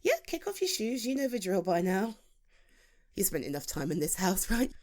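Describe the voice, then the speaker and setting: A woman's voice: mid-range voice, normal speed, natural voice. A British woman playing a playful, chatty female best friend in a humorous, conversational ASMR roleplay. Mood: relaxed, friendly. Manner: animated, conversational, teasing, with laughs and sighs.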